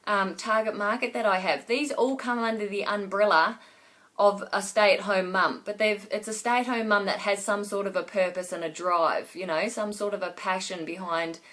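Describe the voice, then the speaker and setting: A woman's voice: mid-range voice, fairly even intonation, normal speed, fairly bright tone. Rambling woman with a fading voice. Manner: rambling, many fillers and restarts. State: losing her voice with a cough. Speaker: online business coach. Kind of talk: casual Facebook Live talk to viewers.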